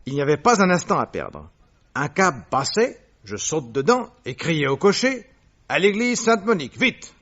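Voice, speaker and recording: A self-amused, witty detective for radio drama. His voice sits a little high and moves expressively as he spins a vivid story. The sound is slightly dull and lo-fi. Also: big pitch swings, normal speed, fairly bright tone. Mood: excited, urgent. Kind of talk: French radio drama acting.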